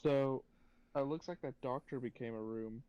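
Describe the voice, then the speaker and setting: A man co-hosting a reaction podcast, chatting casually and thinking out loud in a tentative, musing way. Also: medium pitch, normal speed, clean tone, dull audio.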